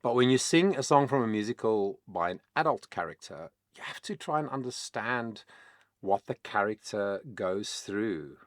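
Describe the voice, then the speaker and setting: Afrikaans-native male vocal coach, lowish voice, dry close sound, relaxed explainer. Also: moderate pace, no room sound. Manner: chatty, explanatory, many "um" fillers. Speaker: vocal coach, channel host. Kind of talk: casual reaction-video talk.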